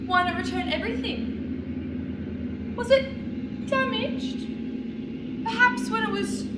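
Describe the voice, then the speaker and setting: A young woman playing a cold, sharp-witted sister from the Victorian era in a theatrical monologue, with deliberate, emphatic stage diction. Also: high-pitched, very noisy. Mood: accusing, sardonic. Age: young adult. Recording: echoey room, distant mic, music.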